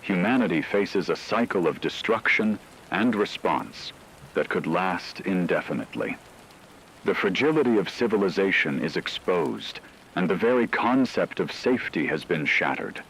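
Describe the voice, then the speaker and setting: Guy acting as grave doomsday radio newsman, really low voice, formal and solemn, rain noise behind him. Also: lively intonation, moderate pace, a bit bright, low-quality recording. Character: grave male radio news anchor reporting a world war. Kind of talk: scripted dramatic news narration.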